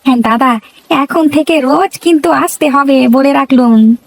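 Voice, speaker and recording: A woman's voice: sits high, normal speed. A woman voicing a cheeky, enterprising village boy who hawks food in a cartoon folktale, chatty and insistent. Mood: friendly. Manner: chatty, insistent, sing-out hawker calls. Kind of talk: Bengali cartoon folktale voice acting.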